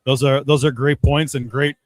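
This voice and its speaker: A man giving casual, measured sports analysis as a podcast guest, hedging his points as he goes. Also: mid-range voice, little pitch movement.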